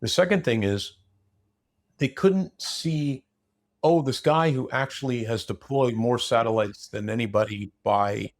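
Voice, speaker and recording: A man in a casual unscripted discussion, opinionated and blunt, rambling through broken-off sentences. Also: on the low side.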